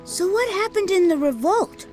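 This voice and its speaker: A woman's voice: very high voice, lively intonation, normal speed, a little mellow, faint music behind. An actress playing a cheerful, eager young woman in a game cutscene, talking in an upbeat way.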